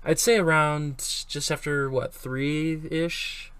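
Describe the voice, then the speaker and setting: A man's voice: a little high, fairly even intonation, slow, a bit bright, clean tone, no room sound. A young man co-hosting a sports talk show in a casual, easygoing, hesitant way. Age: young adult. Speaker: sports podcast co-host, student. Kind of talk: casual chat.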